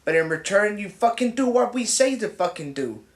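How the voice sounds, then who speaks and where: A loud, boorish, swaggering young American bro, played by a man, his voice pitched up high. It sounds roomy and echoey, as though he is standing back from the mic. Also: very animated, normal speed, distant mic. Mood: ranting. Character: brash crude young American man, president's son. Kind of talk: mockumentary comedy acting.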